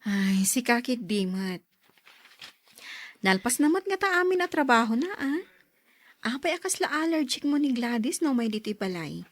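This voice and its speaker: A woman's voice: fairly high pitch, big pitch swings, normal speed, pauses often. An actress playing a young man's teasing, probing sister in a radio drama.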